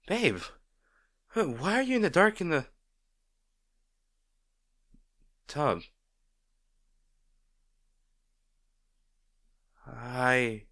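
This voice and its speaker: A man playing a gentle, protective boyfriend in an intimate roleplay audio, speaking in a tender, reassuring way. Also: a little high, no room sound, clean studio sound, heavily acted. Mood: alarmed, pleading. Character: gentle, protective boyfriend comforting a distraught partner.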